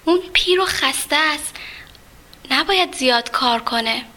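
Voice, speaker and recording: A woman voicing a gentle wistful rain cloud. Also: high voice, animated, pitched above the performer's own voice. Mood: musing.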